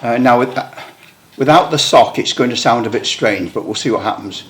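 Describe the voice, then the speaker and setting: Man, hobbyist, bright tone, unhurried thinking-aloud demo, headset mic. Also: medium pitch. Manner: unhurried, thinks aloud while fiddling with equipment. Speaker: CB radio hobbyist demonstrating gear. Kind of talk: casual hands-on demonstration. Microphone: headset microphone for part of the test.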